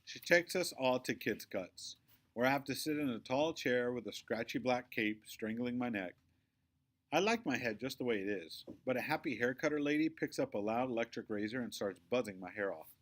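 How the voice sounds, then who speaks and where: Man, medium pitch, expressive, children's novel read-aloud, storytelling narration. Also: normal speed. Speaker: audiobook-style reader.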